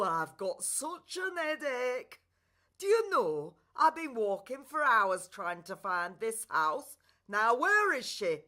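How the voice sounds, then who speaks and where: A woman's voice: a little high, fairly bright tone, full character voice. A woman voicing a tipsy, muddled fairy godmother with a Northern English accent in a children's radio play. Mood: flustered. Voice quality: slurred, hiccuping delivery. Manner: rambling, folksy, familiar.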